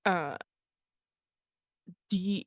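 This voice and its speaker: A woman's voice: lowish voice, drawn-out words, no room sound. A woman asking questions in a casual, conversational way as a panel interviewer. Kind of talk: casual chat.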